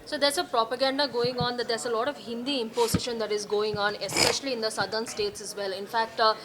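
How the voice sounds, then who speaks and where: A woman's voice: fairly high pitch, fairly even intonation, fast talker. A woman with an Indian accent putting questions as a reporter at a press event. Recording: clearly noisy press room pickup.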